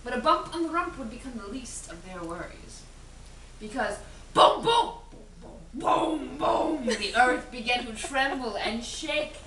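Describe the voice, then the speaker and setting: A woman reading a children's storybook aloud with animated, theatrical narration. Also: sits high, a little slow. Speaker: storybook reader. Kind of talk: dramatic read-aloud narration. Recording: echoey room from a distance, faint hiss.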